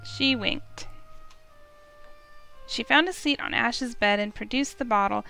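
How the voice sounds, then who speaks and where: A woman's voice: on the high side, slow, vocal fry, pushed and bright. A woman streamer reading a fantasy visual novel aloud in a storytelling narration style. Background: music.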